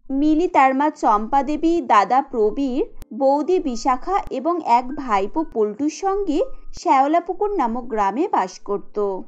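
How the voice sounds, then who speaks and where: A woman's voice: sits high, animated, a little slow, lo-fi sound. A woman storyteller giving scripted narration for a cartoon tale.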